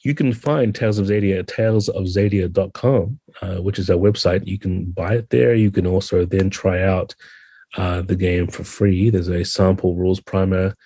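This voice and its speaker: A man's voice: deep voice, fairly even intonation, moderate pace, warm tone, breathy edge, dry recording. A man talking conversationally as a guest game designer on a podcast, earnest and thoughtful.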